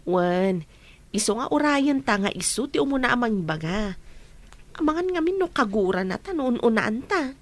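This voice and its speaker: A woman's voice: fairly high pitch, lively intonation, normal speed, lightly acted. An actress playing a young woman who is a probing, secretly jealous best friend in a radio drama. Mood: guarded.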